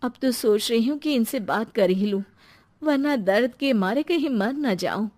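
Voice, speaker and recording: Female actor as long-suffering housewife, middle-aged, high-pitched, meek, hesitant, story drama. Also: lively intonation, a little slow, darkish tone. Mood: worried. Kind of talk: dramatised Hindi moral story.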